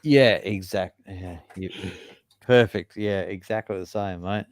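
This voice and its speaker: A man's voice: low-pitched, a little slow, dry recording. An older man as a guest on a casual live-stream chat, easygoing and agreeable, calling the host mate.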